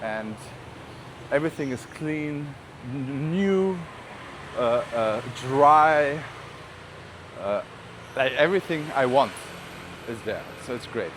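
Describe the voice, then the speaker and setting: A man's voice: medium pitch, expressive, slow pace. A man from Germany with a German accent, chatting casually and rambling with informal asides in a walking vlog. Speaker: vlogger. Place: outdoors on city streets while walking. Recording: outdoor street noise, passing traffic. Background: urban street ambience, vehicles.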